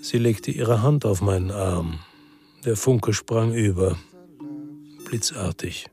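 A man's voice: lowish voice, slow, warm tone, soft background music. An actor playing a world-weary ex-policeman who narrates a radio crime drama in a brooding, reflective way.

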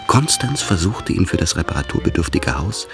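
A man narrating a literary radio drama in a measured, deliberate way. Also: sits low, big pitch swings, creaky. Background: instrumental music.

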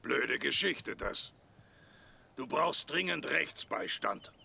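An actor playing a blunt adviser in a German radio drama, with drawn-out delivery. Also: male voice, medium pitch, animated, gravelly, pushed and bright, breathy edge, exaggerated voice. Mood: blunt advice. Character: slow, gravelly male advice-giver.

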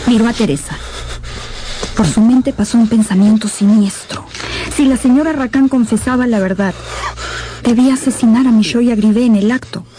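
A woman's voice: a little high, normal speed, warm tone, slight background noise. A woman narrating a radio drama as scripted, dramatic storytelling.

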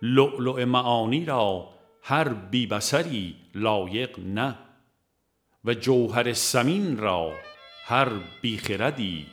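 A man narrating a religious audiobook in a formal, measured way with deliberate pauses. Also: medium pitch, animated, faint music behind. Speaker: audiobook reader.

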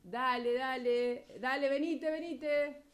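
A woman's voice: high voice, steady tone, takes it slowly. A woman with a Rioplatense accent playing a young, party-loving upstairs neighbor who coaxes and stalls in slangy talk, in an amateur radio drama. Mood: coaxing. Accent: Rioplatense Spanish.

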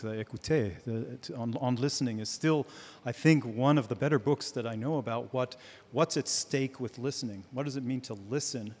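Hebrew-native guy on a panel, thinking out loud, echo of a big hall. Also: medium pitch. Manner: searching, academic, restarts often. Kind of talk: reflective panel discussion. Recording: long hall reverb.

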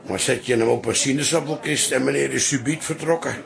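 Grumpy older dad in radio comedy, gravelly, people chattering behind. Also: male voice, mid-range voice, a little fast, a crowd in the background. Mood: grumbling. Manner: grumbling, wordy. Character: grumbling older father in a too-tight suit. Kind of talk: comic radio play acting.

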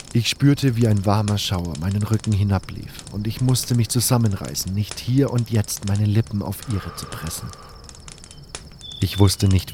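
A man's voice: fairly low pitch, mellow. Male audiobook narrator, noisy background. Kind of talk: scripted first-person audio drama narration.

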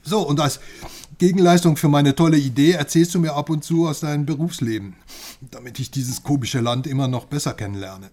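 Actor as husky, cheeky, conspiratorial friend. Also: male voice, fairly high pitch, brisk, close to an everyday voice. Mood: teasing, conspiratorial. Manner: animated, conspiratorial, teasing. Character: chatty, cheeky male friend, curious outsider in East Germany. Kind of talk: radio crime drama acting.

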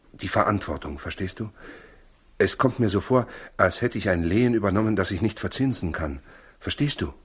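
An actor in a 1960s German radio play, playing Joseph as a brooding, earnest, humble new father with a husky voice. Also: low-pitched, a bit bright, exaggerated voice.

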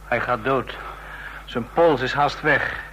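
A nervous junior agent in a radio drama. His mid-pitched voice is sharp and tense, flustered and pleading, stumbling over his words on a slightly noisy old recording. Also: slow pace. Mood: alarmed. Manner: flustered, stammering. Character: nervous, bungling male subordinate agent, anxious and pleading.

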